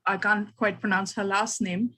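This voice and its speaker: A woman's voice: mid-range voice, level tone, normal speed, sharp tone. A woman host asking interview questions in a conversational, somewhat hesitant way.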